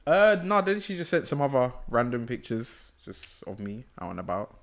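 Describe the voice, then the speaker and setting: A man's voice: mid-range voice, big pitch swings, normal speed, gravelly. A young man co-hosting a podcast, telling stories in a laid-back, wry way. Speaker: podcast co-host. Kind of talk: casual chat.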